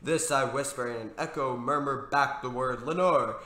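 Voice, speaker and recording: A man's voice: medium pitch, steady tone, normal speed, bright, forceful tone, roomy sound. A man giving a theatrical, emotive dramatic reading of poetry as an amateur reciter.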